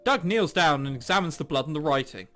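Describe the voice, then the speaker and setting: High animated bright guy reading a visual novel, jokey and incredulous, game music behind. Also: fairly quick. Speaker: game streamer host. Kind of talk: commentary while reading a visual novel aloud. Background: game background music.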